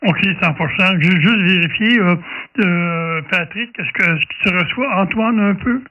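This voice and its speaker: High-voiced Québécois man, distant and dull. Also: normal speed, sharp tone. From Quebec, Canada. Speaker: amateur radio operator. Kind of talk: casual radio chat. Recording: dull, distant room sound.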